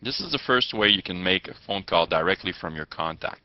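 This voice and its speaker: A man giving step-by-step tutorial narration as an instructor, with halting delivery and formal wording. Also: deep voice, animated. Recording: muffled, echoey lo-fi room recording.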